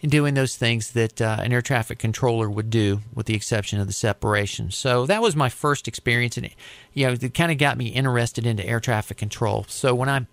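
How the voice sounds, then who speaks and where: A man's voice: mid-range voice, a little fast, dry recording. Middle-aged American man, close dry recording. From United States. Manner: conversational, explanatory, with hesitant repeats. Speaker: interviewee, air traffic controller. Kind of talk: informal interview monologue, explaining a career.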